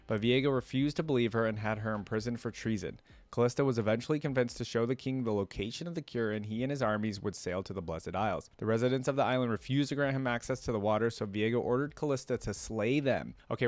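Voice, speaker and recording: A man's voice: medium pitch, no echo. A man hosting a reaction video, casually commenting and reading aloud in a conversational way. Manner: conversational, reacting with interjections as he reads.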